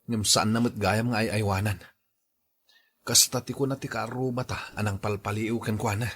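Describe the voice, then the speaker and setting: A man's voice: lowish voice, steady tone, moderate pace, fairly bright tone, a little hiss or hum, lightly acted. A man with a Filipino accent playing an earnest young husband and father in a radio drama. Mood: regretful, blaming.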